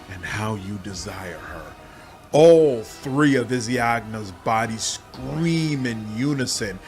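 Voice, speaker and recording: A man narrates a dark fantasy game from a script. His delivery is very slow and measured with dramatic phrasing, his pitch sits in the middle range, and faint music plays underneath.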